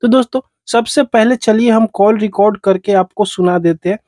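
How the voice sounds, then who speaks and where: A man's voice: high-pitched, moderate pace, darkish tone, dry recording, studio quality. A man narrates a step-by-step phone tutorial as its host, in repetitive, instructional phrasing.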